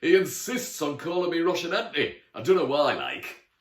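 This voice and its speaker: A man's voice: fairly high pitch, big pitch swings, normal speed, echoey room, pitched above the performer's own voice. Man doing a Geordie unicorn stallion, raised voice. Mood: breezy, friendly. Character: talking unicorn stallion, breezy, friendly, easygoing show-off. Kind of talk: children's storybook character voice.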